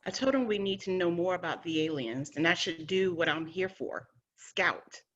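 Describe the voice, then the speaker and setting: A stubborn, feisty young woman scout played by an actress in a sci-fi drama read. Her voice is on the lower side and sassy, captured a bit muffled. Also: moderate pace, fairly bright tone. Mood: irritated. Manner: defiant, sassy.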